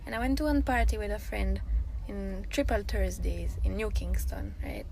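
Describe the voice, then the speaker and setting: A woman, telling a lively story about a culture shock. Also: medium pitch, normal speed, gravelly, poor audio. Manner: animated storytelling with patois. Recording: faint background noise.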